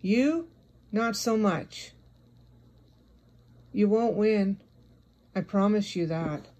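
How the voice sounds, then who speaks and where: A woman's voice: mid-range voice, drawn-out words, dark tone. A woman giving a confrontational, scolding monologue addressed directly at someone, as an online video creator.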